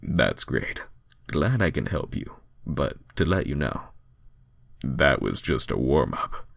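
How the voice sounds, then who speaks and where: A cocky hedgehog hero voiced by a man talks in a very low voice. He sounds smug, casual and laid-back, in a dry, close fan fiction reading. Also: sing-song, slow, creaky, fairly bright tone, dry recording, exaggerated voice. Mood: smug, pleased.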